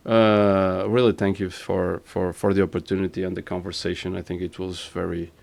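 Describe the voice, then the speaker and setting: A podcast host speaks English with a Portuguese accent, his first language showing through. His voice sits low and carries a gravelly fry. He talks in a halting way, stacking repeated words while he hunts for the right phrase. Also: level tone, darkish tone. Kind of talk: casual interview chat.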